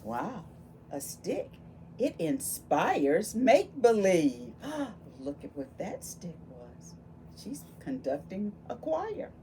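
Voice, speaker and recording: Animated woman reading aloud to children. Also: mid-range voice, slow pace, noisy background. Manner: animated, exclaiming with gasps and wonder, addressing young children. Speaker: volunteer children's read-aloud storyteller. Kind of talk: expressive picture-book read-aloud with questions to children.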